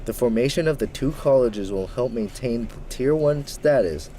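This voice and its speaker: A young man narrating scripted student news reports as the reporter, in an even, measured delivery. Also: mid-range voice, slight background noise. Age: young adult.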